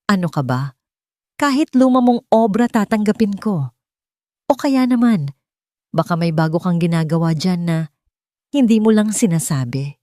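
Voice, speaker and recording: A woman's voice: lowish voice, lively intonation, moderate pace, pauses often, mellow, no room sound, clean studio sound, natural voice. A woman voicing a cheerful, persuasive woman gallery owner in an audiobook. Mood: breezy, coaxing. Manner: breezy, coaxing.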